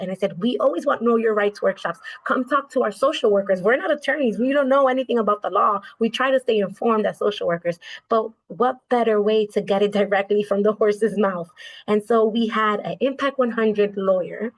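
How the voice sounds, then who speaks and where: An earnest woman speaking on a video call with steady conviction. She leads a social work nonprofit and often checks in with a quick "right?". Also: mid-range voice, low-quality recording. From United States. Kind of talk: video call panel discussion.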